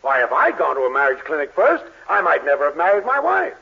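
An actor playing an excitable, scheming middle-aged boss in 1940s radio comedy, theatrical and fussy. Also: male voice, fairly high pitch, fast talker, gravelly, slightly rough, full character voice. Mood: fussy, pleading. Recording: muffled vintage 1940s radio recording.

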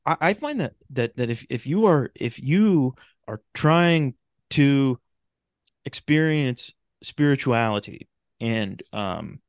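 A man talking casually as a podcast guest, frank and informal, with halting phrasing. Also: medium pitch, lively intonation, no room sound, clean studio sound.

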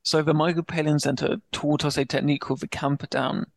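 Man with a stammer, gravelly. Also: on the high side, flat delivery, no room sound. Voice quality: speaks with a stammer. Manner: paces words slowly, then speeds up. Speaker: podcast guest. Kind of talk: candid personal story in an interview.

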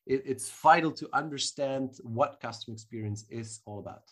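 A marketing professor answering interview questions thoughtfully, with frequent restarts and fillers. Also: male voice, mid-range voice, moderate pace. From Belgium.

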